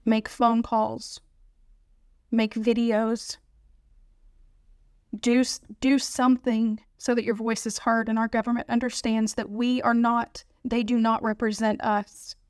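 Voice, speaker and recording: An American woman makes an urgent appeal, repeating her call to action. Her voice is slightly high and flat in tone, recorded dry and close. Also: a little slow, dry recording. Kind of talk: impassioned appeal.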